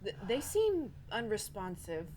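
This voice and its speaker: A woman's voice: medium pitch, very animated, a little slow, a little mellow. A woman playing a bossy, exasperated covert operative in an audio drama. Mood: brusque, weary. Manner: brusque, exasperated. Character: irritated, bossy covert operative cleaning up a crime. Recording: clear background noise and ambience.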